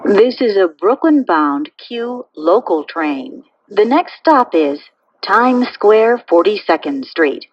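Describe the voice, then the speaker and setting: Slow, melodic woman announcer, dull recording. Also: mid-range voice, animated, a little hiss or hum. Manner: measured, clearly phrased, formulaic delivery. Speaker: automated subway train announcer. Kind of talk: pre-recorded transit announcements.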